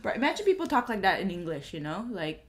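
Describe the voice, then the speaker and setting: A woman's voice: medium pitch, very animated, fast talker. A woman co-hosting a podcast over a video call, chatting expressively in English and Cantonese. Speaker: podcast co-host. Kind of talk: casual chat mixing English and Cantonese.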